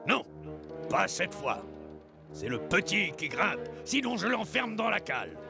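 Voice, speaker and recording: Vengeful whaling captain for animation: commanding, theatrical, gravelly, wildly swinging pitch. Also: male voice, very animated, a little slow, exaggerated voice. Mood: angry, menacing. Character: vengeful, obsessed whaling ship captain, bossy and menacing. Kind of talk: French cartoon dub acting. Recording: music behind the voice.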